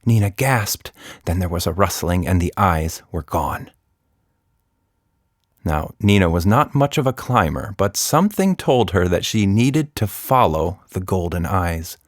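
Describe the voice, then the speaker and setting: A man narrating a scripted children's story as a podcast storyteller, deliberate and expressive. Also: low voice, no room sound, studio quality.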